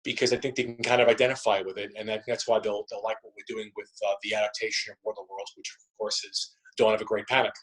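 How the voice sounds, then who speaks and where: A man's voice: lowish voice, flat delivery, fast talker, few pauses, fairly bright tone, rough recording. A man speaking as an actor and interview guest, answering conversationally in a rambling way and repeating himself.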